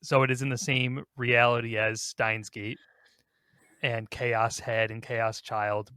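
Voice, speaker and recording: A man's voice: mid-range voice, flat delivery, slow, bright, forceful tone, smooth, dry recording, studio quality. A man hosting a gaming podcast, talking casually in a rambling, conversational way. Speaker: podcast host.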